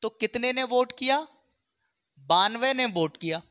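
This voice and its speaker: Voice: mid-range voice, expressive, a little slow, pushed and bright, dry recording, studio quality. A maths teacher explains exam problems step by step, often checking in with short questions.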